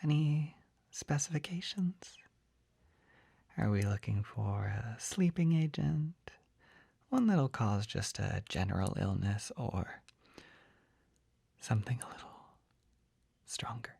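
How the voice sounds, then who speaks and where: An actor voicing a shy, flustered, gentle poison-selling apothecary in a soft, breathy, hesitant whisper for an ASMR roleplay. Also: medium pitch, big pitch swings, no echo, exaggerated voice. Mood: gentle, helpful. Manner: hesitant, halting, apologetic, easily embarrassed.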